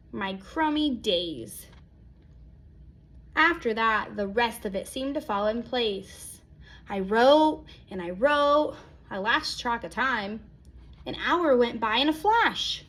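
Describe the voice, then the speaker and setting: High-voiced woman reading a storybook aloud. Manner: animated, acts out exclamations, dramatic pauses. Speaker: read-aloud storyteller, teacher. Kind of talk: expressive children's picture book read-aloud.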